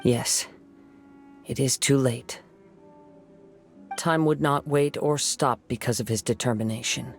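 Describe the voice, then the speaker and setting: A man voicing a calm, gentle young wandering samurai in a video game, speaking in a soft, reflective way. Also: mid-range voice, vocal fry.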